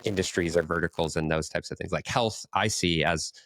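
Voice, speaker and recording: A man's voice: sits low, fairly quick, a bit bright, slight background noise. A man co-hosting a podcast, asking casual questions in a roundabout, hedging way. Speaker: podcast co-host.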